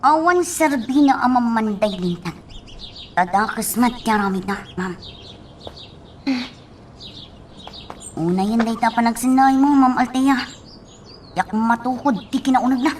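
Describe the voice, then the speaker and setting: A woman's voice: fairly high pitch, normal speed, slight background noise, natural voice. A woman playing an indignant, outspoken young villager in a radio drama, speaking with earnest emphasis. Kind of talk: Ilocano radio drama acting.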